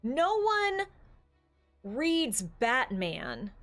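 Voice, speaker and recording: A woman's voice: sits high, huge pitch swings, extremely slow, fairly bright tone, soft background music. A woman co-hosting a casual, opinionated discussion, talking at length emphatically with rhetorical questions. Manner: talkative, emphatic, builds long explanations with rhetorical questions. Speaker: podcast co-host.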